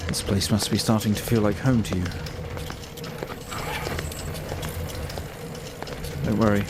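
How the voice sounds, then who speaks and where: Guy acting as a calm psychiatrist in a game, low voice, patient and coaxing, music behind him. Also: close to an everyday voice. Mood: calm, reassuring. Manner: soothing, patient, deliberate. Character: calm, clinical, coaxing male psychiatrist doctor. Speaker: doctor leading a therapy session. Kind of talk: measured video game drama acting.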